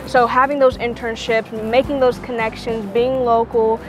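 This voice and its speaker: Bubbly young woman, very high voice. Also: flat delivery, moderate pace, noisy background. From Texas. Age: early twenties. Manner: enthusiastic, friendly. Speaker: student co-host. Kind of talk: upbeat promotional vlog, casual chat. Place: outdoors on streets and cafe patios. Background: background music.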